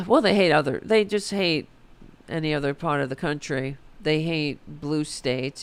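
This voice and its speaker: Raspy-voiced, indignant woman host. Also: low voice. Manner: sarcastic, indignant, profane. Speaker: political commentary host. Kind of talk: political commentary reading an article aloud.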